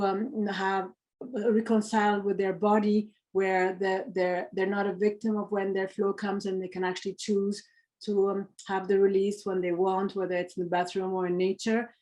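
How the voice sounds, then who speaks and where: A woman moderates an online conference over a video call. Her tone stays flat and even, and she speaks hesitantly, filling gaps with uh and um. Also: mid-range voice, rough recording. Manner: hesitant, with many filler sounds. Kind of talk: casual moderating.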